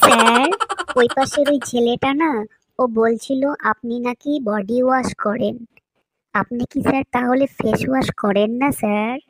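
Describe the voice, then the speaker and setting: A woman's voice: a little high, steady tone, normal speed, fairly bright tone, no echo, poor audio. A woman voicing a chatty, cheeky new schoolchild in a comedy cartoon, teasing and eager. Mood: tattling.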